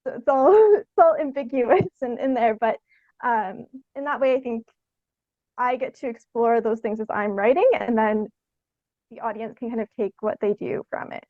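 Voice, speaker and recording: High-voiced young woman, thinking aloud. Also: normal speed, no echo, narrow, tinny audio. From Canada. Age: young adult. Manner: hesitant, thoughtful, frequent "like" as filler. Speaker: podcast guest, theatre artist. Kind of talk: conversational interview answers.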